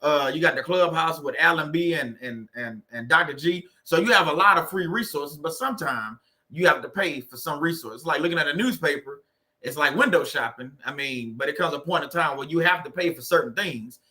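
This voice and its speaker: Bright-voiced man with a Louisiana accent. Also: a little high, a little fast. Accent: Louisiana Southern US. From Louisiana, USA. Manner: loose, joking, greets viewers by name. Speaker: livestream host. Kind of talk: casual livestream chat with viewers.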